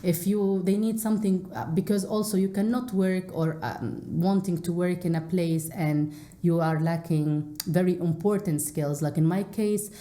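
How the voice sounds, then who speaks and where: Low, mellow-voiced woman with echo. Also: steady tone, moderate pace. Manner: hesitant, many fillers and restarts. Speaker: interview guest, English teacher. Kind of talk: casual interview answers. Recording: echoey room.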